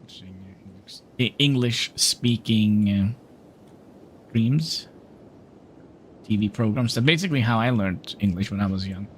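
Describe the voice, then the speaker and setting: Guy streaming a game, slow easy talk, reads the dialogue out, faint room noise. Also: lowish voice, poor audio. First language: Norwegian. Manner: unhurried, reads game dialogue aloud, chats with viewers. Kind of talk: livestream gameplay commentary.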